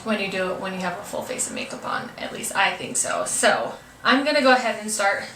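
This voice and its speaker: A woman's voice: medium pitch, big pitch swings, brisk, bright, forceful tone. A young woman with a congested, stuffed-up voice talks casually and hesitantly, apologizing often as she works through a makeup tutorial. She sits some distance from the camera mic in a home room that rings with echo, and a faint hiss runs underneath. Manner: chatty, hesitant with many ums, apologetic. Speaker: beauty vlogger.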